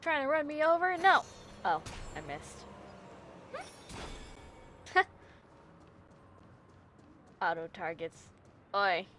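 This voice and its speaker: A woman's voice: medium pitch, very animated, very slow, long pauses, fairly bright tone, slight background noise. A woman livestreaming a game with casual commentary, wry and given to exaggerated reactions. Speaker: live streamer playing a game.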